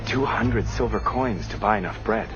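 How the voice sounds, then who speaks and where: A man's voice: fairly low pitch, lively intonation, normal speed, music in the background. An actor playing a doubtful male disciple in a scripture film. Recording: muffled film soundtrack.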